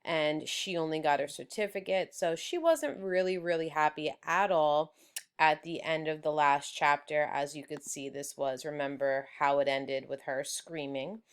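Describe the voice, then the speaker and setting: A woman reading a children's story aloud in a deliberate, expressive storyteller's manner. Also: low-pitched, clear, resonant tone. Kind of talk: children's book read-aloud.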